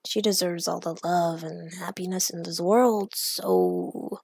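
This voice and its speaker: A woman's voice: fairly low pitch, big pitch swings, creaky, darkish tone, husky, no room sound, heavily acted. Woman voicing an anxious, hesitant young man. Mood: uneasy, deliberating. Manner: hesitant, halting, self-questioning. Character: anxious, self-doubting young man arguing with himself.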